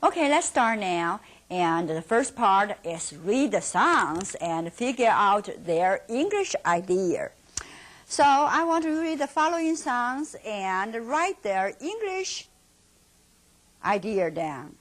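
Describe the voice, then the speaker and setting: A middle-aged woman with a Mandarin Chinese accent teaching a beginners' Mandarin lesson in English, repeating words slowly and asking and then answering her own questions. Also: medium pitch, sing-song. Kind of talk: slow teaching instruction with drills.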